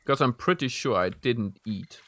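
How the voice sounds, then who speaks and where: A man's voice: medium pitch, expressive, a little slow, a bit bright, dry recording. A man streaming a video game, thinking aloud in a rambling way and chatting with his viewers. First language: German. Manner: answers chat messages as he plays. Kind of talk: casual live-stream chat while playing.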